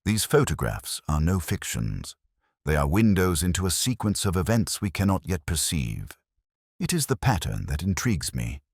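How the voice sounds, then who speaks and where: A man's voice: deep voice, sing-song, normal speed, husky, no echo, studio quality, exaggerated voice. A man voicing a keen, imperious master detective in an audiobook, speaking formally and precisely, with a lecturing emphasis. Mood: musing, assured. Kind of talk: audiobook detective story dialogue.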